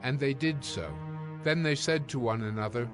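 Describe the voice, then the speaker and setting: Solemn male narrator over clear music. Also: mid-range voice, normal speed. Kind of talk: scripted scripture narration. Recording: music behind the voice.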